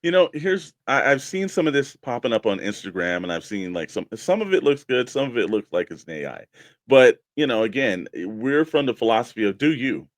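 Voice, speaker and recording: A man's voice: mid-range voice, sing-song, fairly quick, dry recording. A man co-hosting a show, chatting casually and giving opinions in a matey, conversational way. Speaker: co-host of a toy collecting show.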